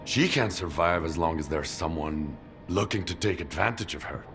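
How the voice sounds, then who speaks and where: Deep grim stalker vet for a game, blunt and serious, music under him. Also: male voice, lively intonation, moderate pace, a bit bright. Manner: blunt, grave, resolute. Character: hardened veteran male stalker, grim, resolute. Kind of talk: video game cutscene acting.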